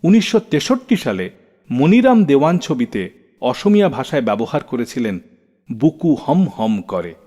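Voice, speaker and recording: A man's voice: medium pitch, very animated, normal speed, warm tone. A man hosting a radio show, telling stories about music in scripted narration. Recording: long reverb, faint music behind. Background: faint music.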